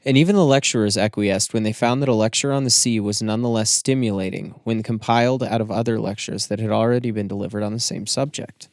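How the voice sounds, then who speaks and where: A man narrates literary fiction for an audiobook. His voice sits somewhat low. The sound is very dry, free of room echo, and the reading is scripted. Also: quick pace, few pauses.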